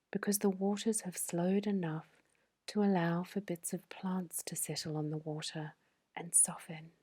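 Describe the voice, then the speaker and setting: A woman whispering a guided sleep meditation in a soft, breathy voice, slow and soothing. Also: fairly low pitch.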